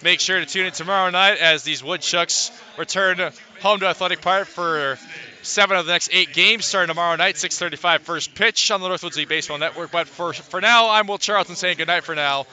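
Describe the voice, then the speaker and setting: A man giving live radio play-by-play of a baseball game, calling it in measured, deliberate phrases with pauses. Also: high-pitched, bright, forceful tone. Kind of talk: live radio sports commentary.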